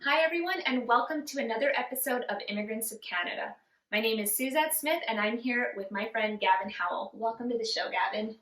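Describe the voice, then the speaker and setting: A woman hosts an interview, her voice sitting a little high as she welcomes her guest and asks short, friendly questions. She answers him with small murmurs of agreement. The room rings with echo around her. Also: expressive, brisk, a bit bright, faint music behind. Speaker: podcast host. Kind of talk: casual interview. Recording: echoey room.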